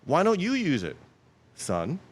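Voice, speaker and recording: A man's voice: a little high, a little slow. A middle-aged man who grew up in Queens, New York, telling rambling anecdotes as a guest in a casual interview. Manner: rambling, self-correcting, animated anecdotes. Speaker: guest, app developer.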